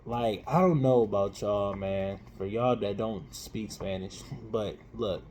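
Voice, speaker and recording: Playful slangy man with a mellow voice. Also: on the low side, takes it slowly, a little hiss or hum. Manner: animated, playful slang, hypes up his co-host. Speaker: co-host. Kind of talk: casual chat. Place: living in Europe.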